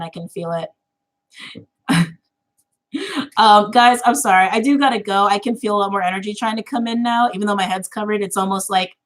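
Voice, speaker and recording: A woman in her twenties chatting casually with viewers on a livestream, full of fillers and easy laughter. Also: mid-range voice. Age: 20s. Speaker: tarot channel host.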